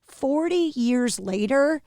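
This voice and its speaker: A woman with a fairly high voice hosts a casual interview chat. She is playful and quick with a joke, and her voice sounds close and dry. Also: lively intonation, dark tone, dry recording. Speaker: podcast host.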